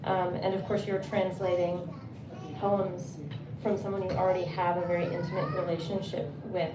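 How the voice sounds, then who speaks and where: A woman's voice: fairly low pitch, flat delivery, normal speed. A woman moderating a live Q&A at a bookstore poetry reading, asking questions in a friendly way with frequent fillers. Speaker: event moderator. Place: bookstore event space. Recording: roomy, distant, noisy room sound.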